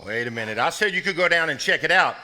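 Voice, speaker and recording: Family protector, played by a man; stern yet tender, very bright, slightly high voice, folksy stage acting. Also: animated, fast talker. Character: protective, stern but tender head of household. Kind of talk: live stage play acting.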